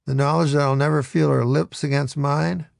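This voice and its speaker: A man reading as a heartbroken adult male friend who speaks in a mournful, halting way. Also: on the high side, steady tone, gravelly, dry recording, studio quality.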